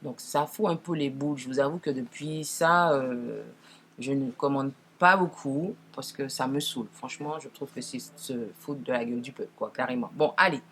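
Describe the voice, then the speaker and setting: A woman with a naturally deep voice, lowered further by a cold, chats casually to viewers as she presents makeup, often correcting herself. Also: normal speed, a little hiss or hum. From Martinique. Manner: informal, frequent self-corrections. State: deeper, hoarse-sounding from a cold. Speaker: makeup tutorial host.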